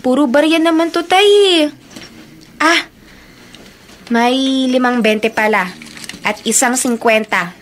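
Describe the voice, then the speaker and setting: High-voiced, eager young daughter. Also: female voice, slow pace, pauses often, fairly bright tone, slight background noise. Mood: eager, respectful. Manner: eager, respectful. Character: dutiful young daughter working at an eatery. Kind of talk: radio drama acting.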